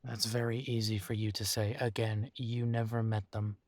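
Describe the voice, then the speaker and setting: A man playing a conflicted, weary werewolf talking to a mate in an intimate roleplay audio drama, halting and exasperated. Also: fairly low pitch, little pitch movement, dry recording, lightly acted. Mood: resigned, doubtful. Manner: exasperated, halting, sighing delivery. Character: weary, conflicted male werewolf arguing tenderly with his mate.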